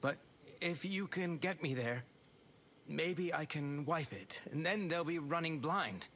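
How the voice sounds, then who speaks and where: An actor playing a guilty, grief-stricken scientist in a video game, earnest and halting. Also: male voice, fairly high pitch, expressive, a bit bright, slight background noise. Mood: sad, resolved. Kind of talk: video game cutscene acting.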